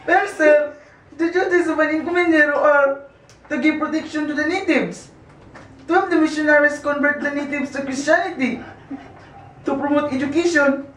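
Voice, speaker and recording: A man's voice: very high-pitched, steady tone, moderate pace, fairly bright tone. A man with a Filipino accent playing a cheeky know-it-all pupil in an amateur puppet show, reciting formally. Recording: echoey room, distant microphone.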